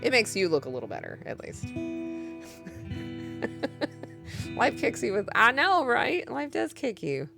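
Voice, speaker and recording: A woman's voice: fairly high pitch, huge pitch swings, slow pace, gravelly, long pauses. A woman from Kentucky with a Kentucky accent, chatting candidly with stream viewers between songs and laughing often as she tells stories. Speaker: livestreaming singer-guitarist. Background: acoustic guitar.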